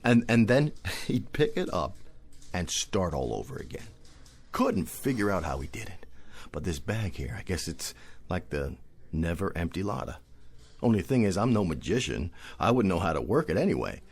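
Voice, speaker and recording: An actor playing a genial, wry drifter in a radio drama, rambling along in a hesitant storytelling manner. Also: male voice, sits low, big pitch swings, normal speed, heavily acted. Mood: musing.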